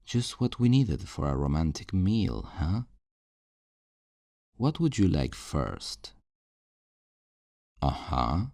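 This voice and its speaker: A man's voice: low voice, big pitch swings, creaky, a little mellow, no room sound, clean studio sound, heavily acted. A man playing a possessive, falsely tender kidnapper in an intimate ASMR roleplay, coaxing and condescending with veiled menace. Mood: falsely cheerful. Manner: slow, coaxing, condescending endearments with veiled threats.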